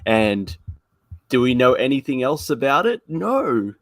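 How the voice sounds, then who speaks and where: A man's voice: mid-range voice, sing-song, measured pace, no echo, studio quality. A man hosting a live stream in casual chat, with a joking, wry way of talking. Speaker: host of a weekly fan live stream.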